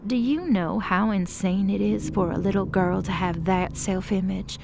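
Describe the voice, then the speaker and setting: A woman's voice: mid-range voice, normal speed, gravelly, background noise, natural voice. A woman playing an embittered, defiant demon of hell in an audio drama, speaking with passion and slangy sarcasm. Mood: bitter, sardonic. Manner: impassioned, sarcastic, casual slangy speech. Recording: prominent music under the voice.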